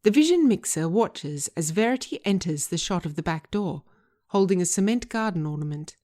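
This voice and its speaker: A woman's voice: fairly low pitch, animated, moderate pace, mellow. A woman reading scripted screenplay narration as its writer and narrator.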